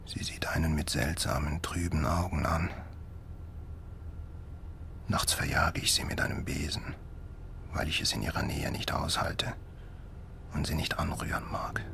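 An actor playing a troubled doctor and father who narrates his story in a radio drama, subdued and measured. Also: very deep voice, steady tone, gravelly, bright, forceful tone, whispered, heavily acted. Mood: hushed dread.

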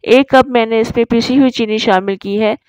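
Higher-pitched woman giving recipe instructions. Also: fairly even intonation, normal speed, darkish tone, clear, resonant tone, dry recording. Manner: instructive, step-by-step. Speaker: cooking channel host. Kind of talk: recipe tutorial voice-over.

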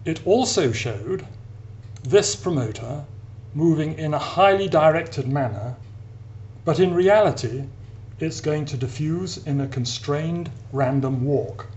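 A man giving an explanatory science narration as an academic lecturer, in a measured, deliberate manner with pauses between phrases. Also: on the high side, lively intonation, darkish tone, lo-fi sound. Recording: clear background hiss and hum.

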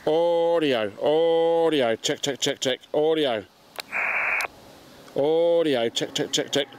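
English man, forties, slightly high voice, casual video host presenting outdoors with light background noise. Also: slow pace. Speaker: amateur radio video host. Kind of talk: casual product demonstration. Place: outdoors in a garden and on a street. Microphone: camera mic, arm's length or further.

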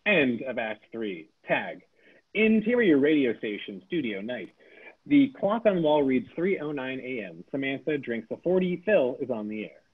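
A man reads the stage directions of a table read in a plain, reading-aloud way. His voice is husky and lively in pitch. The sound is thin and phone-like. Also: moderate pace.

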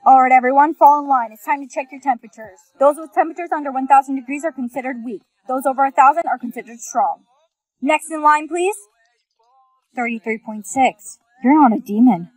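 Woman playing a high-voiced stern, accusing female. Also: brisk, no echo, natural voice, rough recording. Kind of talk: scripted skit acting.

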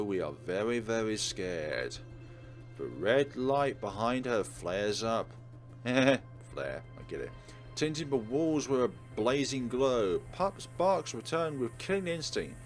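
Low-voiced man reading aloud over music. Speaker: let's play host. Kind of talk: dramatic read-aloud of a visual novel with casual commentary. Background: game music.

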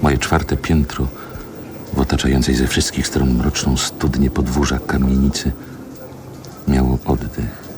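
An actor playing a reflective man who recalls his childhood in a wistful, lyrical radio drama monologue, in measured, deliberate phrases with pauses. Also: extremely low pitch, level tone, noisy background. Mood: nostalgic, contemplative.

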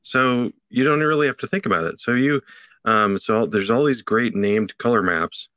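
Middle-aged guy co-hosting, bright forceful tone, lots of ums, dry sound. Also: mid-range voice, dry recording. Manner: halting, many fillers and restarts. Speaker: podcast co-host. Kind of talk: casual tech chat. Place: home office, indoors.

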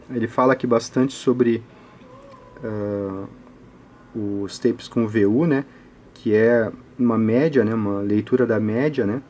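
A man's voice: lowish voice, mellow, a little hiss or hum. A man with a Brazilian accent giving an informal technical tutorial, explaining hesitantly step by step with frequent check-in tags. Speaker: host of an audio electronics channel. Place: indoors at a work table.

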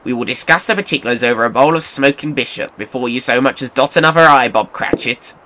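An actor playing a reformed elderly miser, now a kindly and apologetic gentleman, with formal old-fashioned courtesy in an audio drama. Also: medium pitch, quick pace, slight background noise, natural voice. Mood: jubilant.

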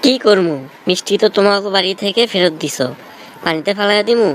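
A man's voice: high voice, quick pace, close to an everyday voice. Man acting a cocky, melodramatic young Bangladeshi lover, noisy audio. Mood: teasing. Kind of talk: comic cartoon dialogue. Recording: noticeable background noise.

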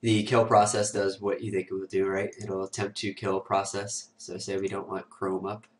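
Hoarse, low-voiced man with a cold. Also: monotone, moderate pace, far from the mic. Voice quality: raspy. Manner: casual, filler-heavy walkthrough with many "uh" and "um". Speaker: host of a security tutorial show. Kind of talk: screen-recorded software tutorial.

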